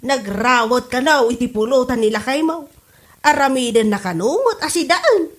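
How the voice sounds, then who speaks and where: A disapproving older neighbour played by a woman in a comedy audio drama. She gossips matter-of-factly in a fairly high voice with an Ilocano accent. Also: expressive, moderate pace. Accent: Filipino, Ilocano.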